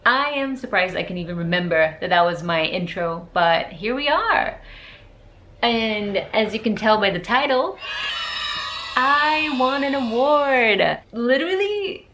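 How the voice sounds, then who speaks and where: A young woman from Portugal, raised in Brazil, chatting casually on her vlog as a music photographer, animated and quick through her asides. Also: fairly high pitch. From born in Portugal, raised in Brazil. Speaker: music photographer and channel host. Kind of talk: casual vlog chat to camera. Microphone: camera's built-in mic. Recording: faint room noise, no external mic.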